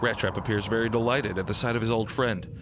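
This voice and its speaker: A man's voice: fairly low pitch, steady tone, fast, noisy background. A man narrating a scripted radio drama, describing the action in the present tense. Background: music.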